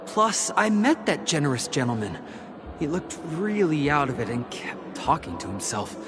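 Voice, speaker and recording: A man's voice: a little high, very animated, normal speed. An actor voicing a puzzled, doubtful male hotel guest in a video game. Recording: game audio with music.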